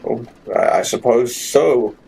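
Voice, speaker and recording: A man voicing a polite, nosy gentleman in a tabletop game, making formal, probing small talk. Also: mid-range voice, slow pace, heavily acted. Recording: clear background noise, room ambience.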